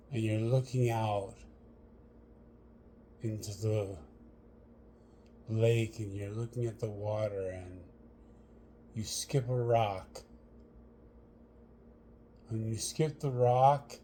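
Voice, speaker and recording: A man leading a guided meditation, speaking to the listener in measured short phrases with long pauses between them. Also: mid-range voice, dark tone, slight background noise.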